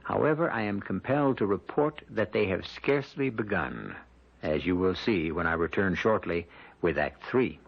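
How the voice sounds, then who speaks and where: A man narrating as the grave, wry host of a radio mystery series, with measured, ominous, literary phrasing and a gravelly voice. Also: sits low, lively intonation, exaggerated voice. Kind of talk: dramatic scripted radio narration. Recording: muffled vintage radio recording. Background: dramatic music.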